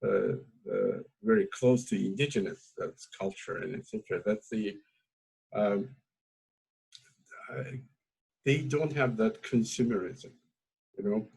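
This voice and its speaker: A man whose native tongue is Azeri, speaking English with a slightly husky, breathy tone. He feels his way through each thought, stopping and restarting often. Relaxed, informal academic conversation. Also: lowish voice, measured pace, long pauses, low-quality recording. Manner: hesitant, many fillers and restarts. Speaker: academic host.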